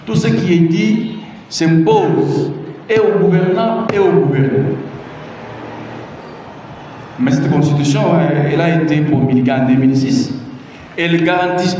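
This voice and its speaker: A man's voice: fairly high pitch, darkish tone, a little hiss or hum. Emphatic Congolese man in an echoey hall. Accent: Congolese French. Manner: emphatic, repeats key phrases, rhetorical questions to the crowd. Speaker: presidential adviser giving a public talk. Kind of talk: public speech on the constitution. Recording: echoey hall, mic far off.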